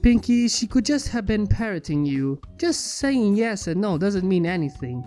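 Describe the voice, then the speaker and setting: A woman's voice: mid-range voice, very animated, normal speed, warm tone, natural voice. A woman voicing a studious, earnest pony princess with a precise, formal, inquisitive manner in a fan fiction story reading. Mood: skeptical. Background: background music.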